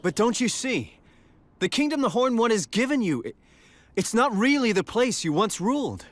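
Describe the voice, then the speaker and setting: A man's voice: very high-pitched, very animated, normal speed, no room sound, full character voice. An actor playing a noble, resolute young king in a video game, speaking with earnest heroic conviction. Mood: earnest pleading. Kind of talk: dramatic video game voice acting.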